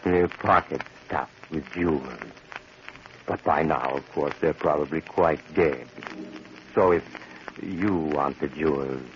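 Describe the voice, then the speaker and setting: An actor playing a sinister, sardonic doctor who narrates a 1940s radio horror tale in a wry, ghoulish, conversational manner. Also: male voice, a bass voice, slow pace. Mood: wryly macabre. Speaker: host and narrator. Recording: dull, hissy 1940s radio recording.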